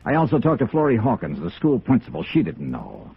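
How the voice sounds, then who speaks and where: A man's voice: mid-range voice, huge pitch swings, brisk, gravelly, husky, exaggerated voice. An American man acting a tough, persistent insurance investigator in a 1950s radio drama, with a clipped, insistent delivery. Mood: confrontational. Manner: clipped, insistent, hard-boiled. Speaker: actor in the lead, also narrating. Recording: tinny, noisy old radio recording.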